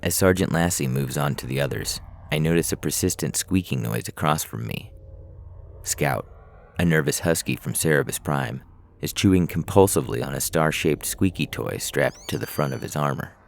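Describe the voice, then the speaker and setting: A man narrating scripted fiction in a measured, dramatic storytelling manner. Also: extremely low pitch, vocal fry. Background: music.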